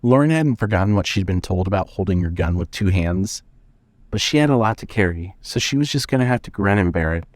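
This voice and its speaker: A man's voice: low voice, expressive, brisk, no echo. A man narrating scripted suspense fiction as the storyteller of an audio drama.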